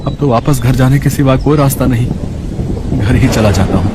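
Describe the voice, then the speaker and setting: A man voicing a poor, kind-hearted street food vendor in a cartoon story, earnest and plaintive, with a husky voice. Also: mid-range voice, moderate pace, heavy background noise, close to an everyday voice. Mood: distressed. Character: poor, worried, kind-hearted male pani puri vendor.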